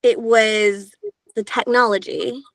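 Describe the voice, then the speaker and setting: A woman's voice: steady tone, takes it slowly, gravelly, no room sound, rough recording. Chatty youthful-sounding woman narrator. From Georgia, US. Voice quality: higher-pitched, younger-sounding voice. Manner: chatty, lots of filler sounds and restarts. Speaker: audiobook narrator, interview guest. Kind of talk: casual interview talk.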